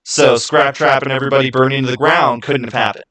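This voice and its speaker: Guy streamer chatting with his viewers, medium pitch, argues his point hard, repeats himself. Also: fairly even intonation, fast talker, fairly bright tone. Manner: emphatic, insistent, repeats phrases. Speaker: live stream host. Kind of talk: casual live chat with viewers, arguing game theories.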